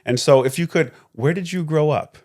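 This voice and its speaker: A man's voice: a little high, normal speed, warm tone. Hesitant man hosting a podcast. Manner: hesitant, many uhs and restarts. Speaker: podcast host. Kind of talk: interview hosting.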